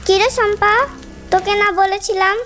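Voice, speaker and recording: Haughty young second wife for cartoon melodrama played by a woman: spiteful, domineering, extremely high, childlike pitch, music underneath. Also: level tone, normal speed, a bit bright. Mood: demanding, irritated. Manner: scolding, domineering.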